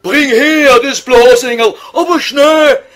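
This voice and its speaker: A man's voice: extremely high pitch, slow, a bit bright, full character voice. A man voicing a grumpy, tormented old scholar, whining and exasperated, with a Viennese accent in a comic radio sketch. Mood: impatient, angry.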